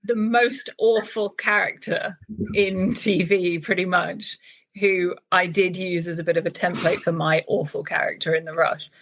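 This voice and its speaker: Gravelly low-voiced woman author. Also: fairly even intonation, moderate pace, low-quality recording. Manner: thoughtful, with frequent restarts. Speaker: novelist guest. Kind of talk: casual interview chat.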